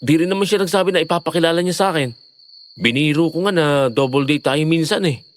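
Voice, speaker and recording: A man's voice: a little high, quick pace, big-room sound, lightly acted. A man playing an easygoing, respectful young boyfriend in an audio drama. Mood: casual, helpful. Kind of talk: Filipino audio horror drama acting.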